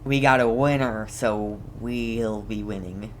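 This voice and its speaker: A woman's voice: a bass voice, animated, slow pace, slight background noise. A woman giving casual live commentary as she plays a game as a streamer.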